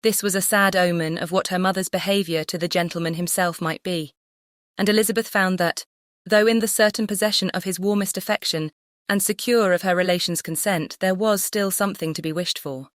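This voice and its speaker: A woman's voice: lowish voice, fairly even intonation, fairly quick, no room sound, studio quality. A woman reading a classic novel as scripted audiobook narration.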